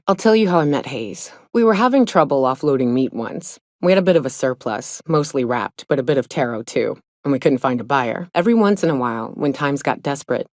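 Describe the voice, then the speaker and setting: Game role: hardened female monster hunter, low-pitched, blunt, folksy and world-weary, close dry sound. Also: dry recording. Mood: storytelling. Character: tough, hard-drinking, haunted female monster hunter and mercenary. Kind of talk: video game character dialogue.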